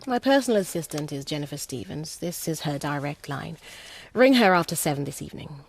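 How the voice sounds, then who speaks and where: Poised, husky-voiced saleswoman. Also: deep voice, animated, brisk, no room sound, full character voice. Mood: businesslike. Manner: poised, persuasive, formally courteous. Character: smooth, assured female gallery saleswoman pitching a property investment. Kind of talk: radio drama acting.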